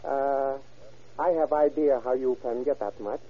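An actor playing a polite, cautious drug go-between with formal, clipped broken English and a husky voice in a radio drama. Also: male voice, medium pitch, fairly even intonation, measured pace, natural voice. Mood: polite, guarded. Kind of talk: 1940s radio acting. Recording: muffled old radio recording.

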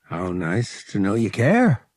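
A man playing a cocky young male space pilot in an audiobook, earnest and tender underneath, with a soft, slightly gruff delivery. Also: fairly low pitch, huge pitch swings, takes it slowly, dry recording, heavily acted.

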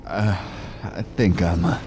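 An actor playing an injured federal agent trapped in a sci-fi audio drama, gruff and weary, halting and muttering his words. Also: low-pitched, fairly even intonation, warm tone. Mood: dry, dazed. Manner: halting, drawn-out speech with muttered swearing. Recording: music under the voice.

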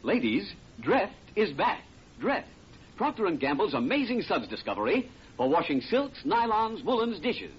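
A man announcing a 1940s radio commercial with emphatic, deliberate, scripted sales delivery. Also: big pitch swings, breathy edge. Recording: muffled, rumbly, noisy old radio recording.

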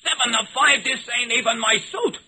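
A man's voice: very high voice, normal speed, sharp tone, breathy edge, exaggerated voice. An actor playing a brash, jittery 1920s gangster with slangy tough-guy talk in an old-time radio drama. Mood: indignant, wary. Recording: noisy old radio recording.

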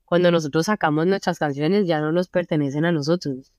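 A young Colombian woman with a Colombian Spanish accent, chatting casually as an interview guest, relaxed and full of fillers. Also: low voice, fairly quick, no echo, muffled recording. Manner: relaxed, many fillers like "o sea" and "como". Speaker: singer-songwriter guest. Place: friends' apartment.

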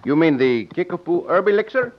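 A dull, faintly noisy old radio western recording carries a gallant Mexican cowboy hero. He speaks in formal, courtly phrases with a Mexican accent, sure of himself and lively in pitch. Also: fairly quick. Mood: amused, teasing.

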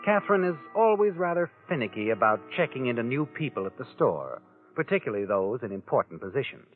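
A man's voice: mid-range voice, very animated, fast talker, natural voice. Guy doing a sly american radio mystery narrator, swoopy delivery, music under, tinny old recording. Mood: sly, taunting. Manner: knowing, taunting, speaks directly to the character. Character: sardonic all-knowing male narrator taunting the guilty man. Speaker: host and narrator. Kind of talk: 1940s radio crime drama narration.

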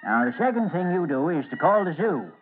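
A man's voice: on the high side, sing-song, brisk. An actor playing a folksy, cocky old carnival animal man, teasing and rambling, in old-time radio drama style. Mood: scheming, confident. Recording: tinny, noisy old radio recording.

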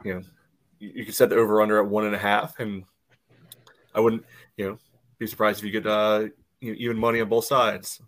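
A man co-hosting a sports podcast, giving casual analysis in halting phrases padded with verbal tics. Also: on the low side, flat delivery, fairly bright tone. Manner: hesitant phrasing, peppered with "you know". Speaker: podcast co-host.

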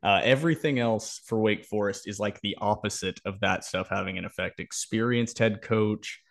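Low-pitched man giving sports analysis. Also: moderate pace, creaky. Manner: analytical, explanatory. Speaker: sports podcast co-host and analyst. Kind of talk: casual sports analysis and predictions.

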